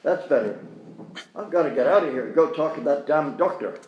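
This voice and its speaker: A man's voice: a little high, animated, a little fast, echoey room, dull audio, close to an everyday voice. A man voicing a bumbling police chief, matter-of-fact and grumbling.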